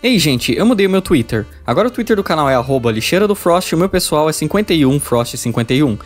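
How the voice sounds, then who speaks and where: Fast-talking Brazilian man narrating over soft music. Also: on the high side, sing-song. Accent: Brazilian Portuguese. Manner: sweary, irreverent. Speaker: video essayist reviewing games. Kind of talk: informal scripted narration.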